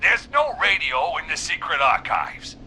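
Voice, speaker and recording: A man voicing an excitable, nervous newspaper editor in a video game, with a fussy, agitated, blustering manner. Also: a little high, measured pace, slight background noise, full character voice. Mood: agitated, scheming.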